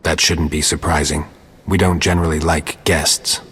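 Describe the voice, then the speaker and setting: A man playing a stoic monster hunter in a video game, terse and deadpan, with a gravelly, husky voice. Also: a bass voice, normal speed, heavily acted. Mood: sardonic, terse. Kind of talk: scripted video game dialogue. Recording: long cavernous reverb.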